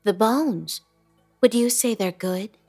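A woman's voice: on the high side, a little mellow, husky, soft background music, exaggerated voice. An actress playing an unsettling, grandly affected young woman landlady in a horror comedy radio play. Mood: insistent. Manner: lilting, grandly affected, drawn-out words. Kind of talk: radio drama acting.

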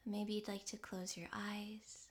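A woman leads a guided rest meditation in a soft, breathy near-whisper. Her delivery is very slow and gentle, with long pauses between phrases. The pitch stays level and calm throughout. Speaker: yoga nidra meditation guide.

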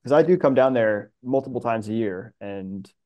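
A man's voice: medium pitch, expressive, normal speed, muffled recording. A middle-aged American man from New York chatting casually about his own experiences in an informal conversation. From New York, USA. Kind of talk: casual chat.

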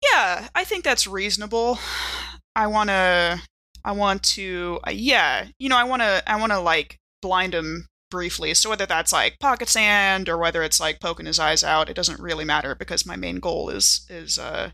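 Woman, game player, bright voice with vocal fry, casual, informal, thinking aloud. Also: lowish voice, moderate pace, no echo.

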